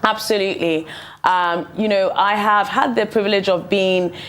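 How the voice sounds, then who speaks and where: A woman's voice: medium pitch, steady tone, moderate pace, fairly bright tone. A young woman from Ghana, raised partly in England, a musician answering interview questions articulately and peppering her speech with "you know". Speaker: musician guest. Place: television studio.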